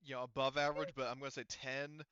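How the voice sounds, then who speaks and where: A man runs a tabletop game in a casual, sweary way. His voice is bright and smooth at an average pitch, recorded dry and a little dull. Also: little pitch movement, fast, no room sound. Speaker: game master. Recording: slightly dull, dry close-up audio.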